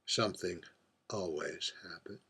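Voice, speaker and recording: A man acting out a heartbroken, boozy drag queen in a stage monologue. The voice is husky and breathy, and the words come very slowly, with theatrical pauses. The delivery is wistful and confiding, like someone pouring their heart out at a bar. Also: low voice, pushed and bright, exaggerated voice. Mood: wounded, rueful. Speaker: writer reading own monologue.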